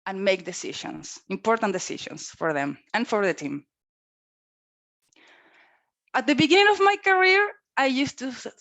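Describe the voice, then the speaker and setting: A woman giving an earnest, measured prepared speech on leadership over a video call. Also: medium pitch, a bit bright, dry recording. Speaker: club speaker.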